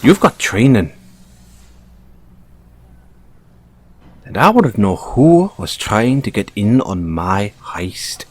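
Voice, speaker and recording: A man with an Irish accent voicing a sly, playfully menacing bunny mechanic who is a mafia boss, in an intimate, teasing, unhurried audio roleplay style. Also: fairly low pitch, sing-song, slightly rough, heavily acted. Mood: smug, menacing. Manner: teasing, unhurried, coaxing with tag questions.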